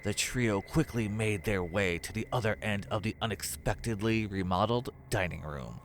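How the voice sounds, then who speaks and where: A man's voice: fairly low pitch, normal speed, a little hiss or hum. A man narrating a scripted horror story as its storyteller.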